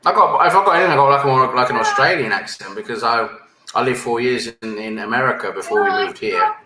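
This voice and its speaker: A man's voice: fairly low pitch, pushed and bright. A man from England, a panel guest in a casual chat, who keeps ending his points with tag questions. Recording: echoey room with faint ambience.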